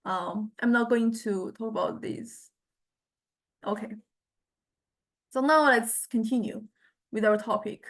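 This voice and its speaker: A woman teaches in an informal, unscripted way, walking through a software demo. Her voice sits fairly high and she takes her time. The phrasing is halting and hesitant, with frequent little check-ins. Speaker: lecturer.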